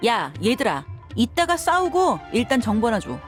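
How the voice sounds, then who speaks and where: A woman's voice: a little high, sing-song, normal speed, soft background music. A woman playing a tough, frank and confident mercenary in a video game, with a blunt, assertive way of talking. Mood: frank, breezy.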